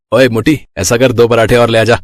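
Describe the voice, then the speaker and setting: A man playing a caring, teasing young elder brother in a dramatized family story, talking in an expressive, familiar way. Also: mid-range voice, measured pace, no room sound, studio quality, lightly acted. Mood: playful, teasing.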